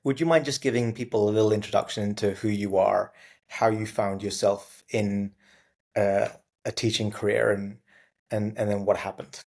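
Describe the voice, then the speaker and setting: A man in his thirties hosting an interview podcast, polite and measured, with "um" fillers. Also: fairly low pitch, fairly even intonation, creaky, darkish tone. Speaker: podcast host.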